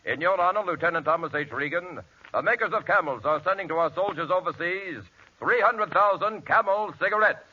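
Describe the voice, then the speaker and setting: A man's voice: high-pitched, fairly quick, highs cut off. A man reading a scripted tribute as a 1940s radio announcer, formal and earnest. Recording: faintly noisy, band-limited 1940s radio recording.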